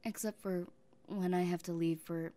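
A young woman playing an obsessive, possessive young woman who has taken the one she loves, speaking softly and haltingly and often dropping into whispers. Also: on the low side, fairly even intonation, lightly acted. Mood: tender. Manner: soft-spoken, halting, drifting into whispers. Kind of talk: audio roleplay.

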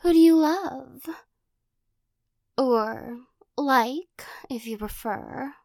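A young woman voicing a possessive, teasing girl who corners the listener in an audio roleplay, giggling and coaxing, often dropping into soft whispers. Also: high voice, extremely slow, creaky, no echo, clean studio sound, heavily acted.

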